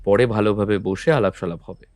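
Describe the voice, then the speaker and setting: A man playing a calm deep-voiced detective. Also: dry recording, close to an everyday voice. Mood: firm. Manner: measured, firm questioning. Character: calm probing male detective, middle-aged. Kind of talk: audio drama acting. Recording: faint background music.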